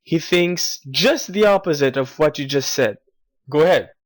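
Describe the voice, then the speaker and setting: A man's voice: a little high, lively intonation, moderate pace. A man hosting a community radio show in a scripted presenting style.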